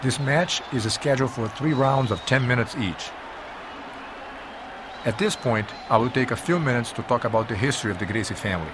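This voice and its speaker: Male documentary narrator, mid-pitched voice, measured and emphatic scripted history telling, clearly noisy old video audio.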